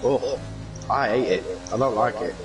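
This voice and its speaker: A man with a croaky voice, chatty and excitable, commentating live on a racing game league. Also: mid-range voice, normal speed, music in the background, rough recording. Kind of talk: live esports race commentary.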